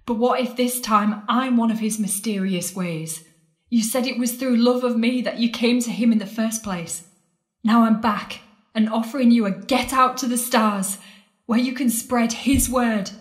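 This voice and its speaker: A woman's voice: a little high, normal speed, close to an everyday voice. An actress playing a defiant, worldly woman in an audio drama, intense and sardonic as she challenges her lost love. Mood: challenging, pleading. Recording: echoey, roomy sound.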